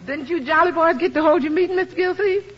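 A woman's voice: very high-pitched, fast. An actress playing a sassy, teasing, good-hearted woman of the household in a 1950s radio comedy. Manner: teasing, sardonic, exclamatory. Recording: muffled 1950s radio recording.

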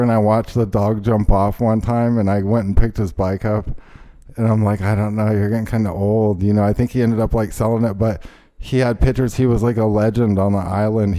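Mellow, rambling male podcast host. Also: fairly low pitch, flat delivery, normal speed. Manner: rambling, with many ums and restarts. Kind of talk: casual chat and ad read.

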